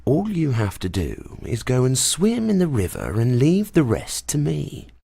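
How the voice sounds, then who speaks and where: A woman voicing a clever, smooth-talking male cat who speaks in a slow, courtly way. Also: a bass voice, huge pitch swings, warm tone, no echo, studio quality, pitched below the performer's own voice, full character voice. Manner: courtly, persuasive, slow and deliberate.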